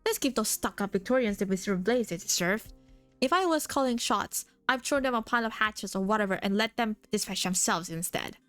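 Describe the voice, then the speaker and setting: A woman's voice: medium pitch, animated, fairly quick, a bit bright, no room sound, natural voice. A woman reading the lines of a callous, bossy male mercenary soldier from a game story, with faint music behind. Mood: scornful. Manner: mocking, bossy. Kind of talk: reading game dialogue on a live stream.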